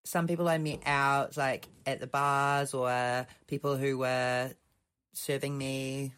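A man's voice: mid-range voice, flat delivery, a bit bright, smooth. A man with a New Zealand accent co-hosting a podcast in casual, chatty and playful banter. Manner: chatty, playful, drawn-out teasing. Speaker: podcast co-host. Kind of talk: casual chat.

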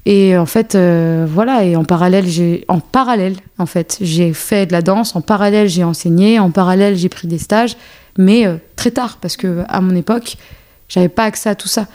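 A woman's voice: on the low side, normal speed, warm tone. A woman in her thirties, born in Algeria and raised in France, talks casually as a guest with many fillers and restarts. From born in Algeria, raised in a French suburb. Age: 30s. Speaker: guest, choreographer and dance teacher. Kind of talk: casual conversational interview.

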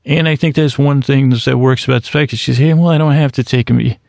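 Gravelly, rambling man in his thirties. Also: mid-range voice, steady tone, darkish tone, no echo. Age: 30s. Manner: slow, meandering, halting with many restarts and fillers. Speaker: sleep podcast host. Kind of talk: rambling bedtime monologue.

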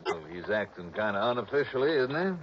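An actor playing a folksy, drawling small-town police captain in a 1960s radio drama. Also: male voice, fairly low pitch, very animated, fairly quick, noisy background. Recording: dull old radio broadcast.

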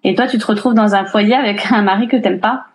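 A woman's voice: medium pitch, fairly even intonation, brisk, slight background noise. A woman in her thirties talking casually in an interview, chatty and full of fillers and restarts. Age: 30s. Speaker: interviewee, single mother. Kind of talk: casual chat.

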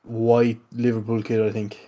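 Mellow, hesitant young Irish man. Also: fairly low pitch, monotone, moderate pace. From Ireland. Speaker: podcast co-host. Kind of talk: casual chat.

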